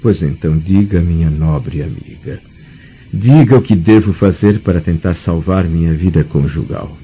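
An actor with a Brazilian accent playing a troubled, proud middle-aged Roman nobleman in a radio drama, formal and anguished, with a husky voice. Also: deep voice, moderate pace, warm tone, a little hiss or hum, close to an everyday voice. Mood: bitter, pleading. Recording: dull, muffled radio drama recording.

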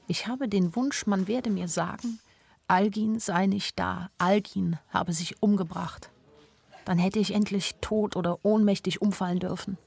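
A woman's voice: mid-range voice, gravelly, darkish tone, slightly rough. An actress playing a weary, lovesick young woman who narrates a radio play in an introspective, lyrical way. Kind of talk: literary first-person radio play narration. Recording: studio radio play with faint music.

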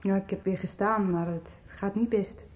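A woman's voice: medium pitch, fairly even intonation, moderate pace, darkish tone, natural voice. An actress in a radio soap playing an earnest, vulnerable young woman. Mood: frightened, sad. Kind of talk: 1990s radio soap acting.

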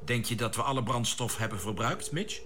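An actor playing a composed, decisive spaceship commander who gives brisk orders in a science fiction radio drama. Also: male voice, medium pitch, sharp tone, a little hiss or hum, close to an everyday voice. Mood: calm, businesslike.

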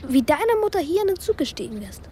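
A woman's voice: very high voice, big pitch swings, fast talker, dark tone, a little hiss or hum. A woman playing a precocious, earnest young boy in a radio drama, explaining things in a self-assured, matter-of-fact way. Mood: curious, certain.

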